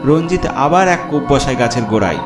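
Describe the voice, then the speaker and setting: Casting: male storyteller, dramatic horror narration, medium pitch, roomy echo, loud music bed. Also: animated, fast talker. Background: loud background music.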